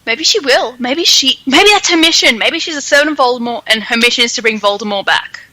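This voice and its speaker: A woman podcast host chatting casually and speculating in an animated, giggly way. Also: sits high, brisk, dry recording. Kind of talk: casual chat, theorizing about a story.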